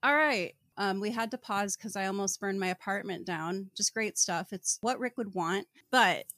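A woman's voice: mid-range voice, normal speed, pushed and bright. A woman co-hosting a podcast, giving casual book commentary with dry irony and rambling tangents. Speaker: podcast co-host.

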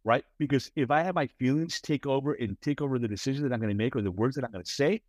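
This is a man chatting casually on a podcast, expressive and animated, with a big voice that sits at an ordinary middle pitch. He restarts his sentences and keeps checking in with his co-host. The sound is dry and close, with no room in it. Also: fast talker, no echo. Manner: many restarts and repeated "right?".

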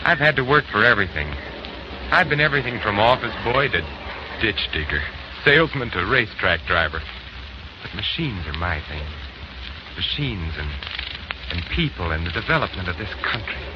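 An actor playing an earnest, ambitious young Canadian bachelor in love, in boyish, exclamatory 1940s radio style. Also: male voice, lowish voice, big pitch swings, moderate pace. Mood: earnest, idealistic. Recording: noisy, muffled 1940s radio recording.

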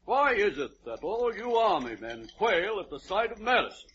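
Fussy doctor, very high lively voice, emphatic pronouncements, muffled vintage radio recording. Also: male voice, normal speed, slightly rough, exaggerated voice. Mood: brisk, insistent. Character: brisk, fussy male country doctor, excitable and coaxing. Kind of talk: old-time radio drama acting.

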